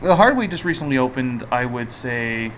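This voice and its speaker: A man's voice: medium pitch, very animated, normal speed, background noise. A man hosting a podcast in an informal, jokey, rambling way. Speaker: podcast host. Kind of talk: casual podcast chat.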